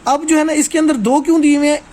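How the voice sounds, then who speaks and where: A man's voice: very high voice, steady tone, fast talker, a little mellow, a little hiss or hum. A man giving an informal hands-on technical lesson as a trainer, explaining step by step and often checking that listeners follow.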